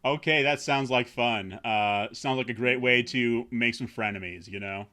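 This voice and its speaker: A man with a bright, forceful tone hosts a relaxed roundtable over a video call. His pitch sits in a middle range, and he keeps things easygoing with frequent laughter. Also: moderate pace. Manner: laid-back, laughs easily. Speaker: host. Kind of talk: casual roundtable chat.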